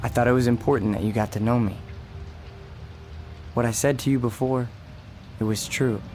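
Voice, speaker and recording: An actor playing Death as a calm, gentle man in a radio drama, measured, soft-spoken and quietly insistent. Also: mid-range voice, over music, close to an everyday voice. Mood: gentle, persuasive. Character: calm, gentle, persuasive Death in a man's form. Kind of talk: dramatic radio play acting.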